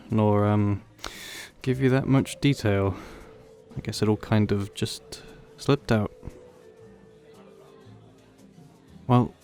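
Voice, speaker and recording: A man's voice: lowish voice, a little mellow. A man playing an awkward, wistful young old friend in an audio roleplay, talking hesitantly and rambling in a self-deprecating way. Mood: sheepish, resolute. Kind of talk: audio roleplay, conversational acting addressed to listener.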